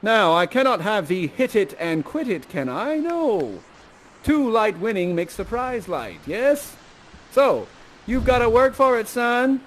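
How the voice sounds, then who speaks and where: A controlling Shakespearean father, played by a man, lays down terms in a fairly high voice. He is theatrical and commanding, repeating himself for effect, with light noise behind. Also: sing-song, measured pace, a bit bright, heavily acted. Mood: stern, bargaining.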